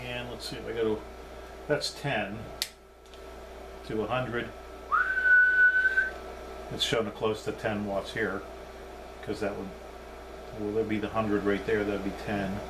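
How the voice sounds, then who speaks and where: A man's voice: on the low side, little pitch movement, unhurried, pauses often, heavy background noise. A man giving a casual, unscripted hands-on demonstration as a hobbyist reviewer, thinking aloud as he goes.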